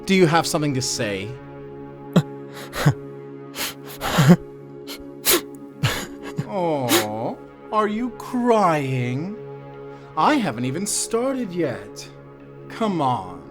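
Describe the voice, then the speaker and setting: A cruel, gloating prison warden acted by a man, theatrical and commanding. His highish voice swings widely in pitch at a drawn-out pace, in fantasy audio drama style. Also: big pitch swings, full character voice. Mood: gloating, taunting. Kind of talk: fantasy audio roleplay acting. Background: music.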